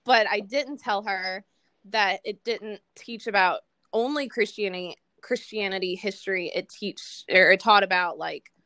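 A woman's voice: medium pitch, a little slow, pushed and bright, no room sound, low-quality recording. A young American woman chatting casually as a podcast host, laughing often and poking fun at herself. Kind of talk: casual chat.

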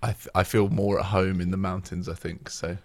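A man in his twenties from England, his voice a little low, talks hesitantly with many ums. He is self-deprecating and relaxed. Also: brisk. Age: 20s. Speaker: church worship and compassion leader. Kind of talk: casual chat.

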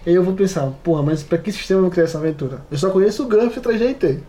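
A Brazilian man in relaxed podcast conversation, informal and jokey. His voice is a little high but soft-edged, with some ambient noise behind it. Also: rapid-fire. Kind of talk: casual podcast chat.

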